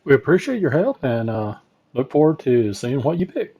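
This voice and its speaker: A man's voice: mid-range voice, very animated, normal speed, slightly rough, dull audio. Casual man narrating a car repair. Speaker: DIY car repair video host. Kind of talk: casual hands-on how-to narration.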